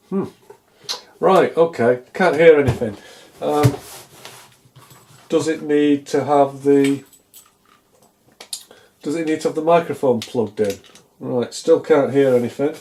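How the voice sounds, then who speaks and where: Mellow middle-aged British man, musing aloud. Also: medium pitch, creaky. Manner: musing aloud, self-deprecating, dryly humorous. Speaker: electronics repair hobbyist, presenter. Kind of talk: casual, unscripted hands-on repair commentary. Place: small indoor home electronics workshop, at a bench.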